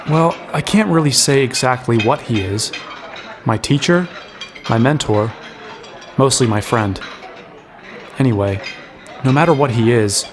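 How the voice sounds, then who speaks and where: An actor playing a wry, kindly young man in a fantasy audio drama, narrating and speaking with gentle, self-deprecating earnestness. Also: medium pitch, close to an everyday voice. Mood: wry, hesitant. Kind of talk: acted first-person narration and dialogue.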